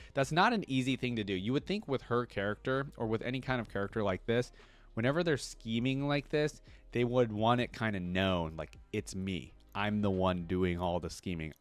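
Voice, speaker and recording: A man hosting a reaction video, reading character lore aloud and breaking off into casual, excited comments. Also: lowish voice, dry recording. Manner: reads wiki text then breaks into excited asides. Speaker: reaction channel host.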